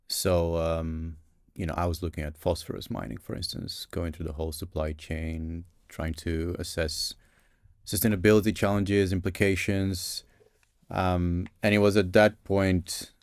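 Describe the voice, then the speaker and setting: A man's voice: sits low, vocal fry, a little mellow, dry recording, studio quality. A man in his thirties from Romania, a guest on a podcast, answering questions in a thoughtful, emphatic way and repeating his key points. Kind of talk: conversational interview answers.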